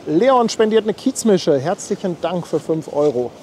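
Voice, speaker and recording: A man's voice: high voice, lively intonation, moderate pace, a little mellow, a little hiss or hum. A man hosting a casual live stream from outdoors at a harbor, chatting and thanking viewers as he reads out their messages. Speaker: livestream host.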